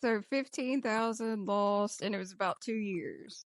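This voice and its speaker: A woman's voice: mid-range voice, a little slow, no room sound, studio quality. A woman hosting a casual podcast chat with co-hosts in a playful, teasing manner. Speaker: podcast host. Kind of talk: casual banter among co-hosts.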